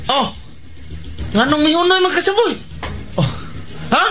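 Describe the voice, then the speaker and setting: A man's voice: very high voice, sing-song, a little slow, music in the background, exaggerated voice. A man voicing an angry, indignant young boy in a scuffle in a Filipino radio drama.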